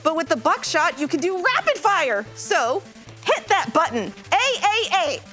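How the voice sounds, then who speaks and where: A woman's voice: very high-pitched, very animated, unhurried, pushed and bright, soft background music, full character voice. An actress playing an enthusiastic geeky woman gamer in a live audio play, explaining things emphatically with casual slang. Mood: playful, coaching.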